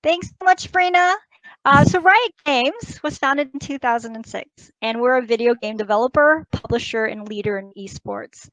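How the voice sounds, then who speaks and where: Bright-voiced woman presenting a corporate keynote, dry close sound. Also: on the high side, normal speed, no echo, poor audio. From United States and Taiwan. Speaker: company HR executive presenting a keynote. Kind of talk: prepared conference presentation.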